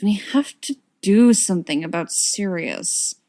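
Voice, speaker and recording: A woman's voice: mid-range voice, expressive, measured pace, dark tone, lightly acted. A woman voicing a moody, grumbling young man in a story reading.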